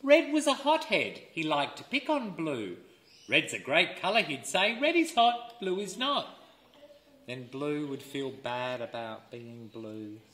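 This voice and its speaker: A man reading a children's storybook aloud as an educator, expressive and deliberate, pausing for listeners to fill in words. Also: on the high side. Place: indoors, seated in a room with wooden floor. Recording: roomy indoor camera sound.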